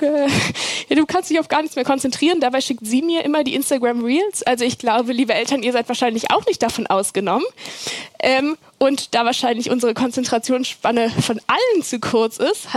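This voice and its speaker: A woman's voice: high-pitched, dry recording. A woman moderating on stage, introducing a youth team in a chatty, joking way. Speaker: event moderator.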